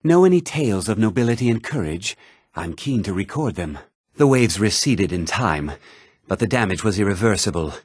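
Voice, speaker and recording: An actor voicing a learned, haughty mage scholar in a fantasy video game, speaking formally and with measured, precise diction. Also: male voice, fairly low pitch, very animated, breathy edge, dry recording, clean studio sound. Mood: grave, scholarly. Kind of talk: scripted fantasy game dialogue.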